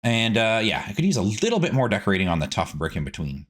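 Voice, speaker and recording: A man giving casual gameplay commentary as a streaming host, chatty and animated, rambling as he goes. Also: lowish voice, fast.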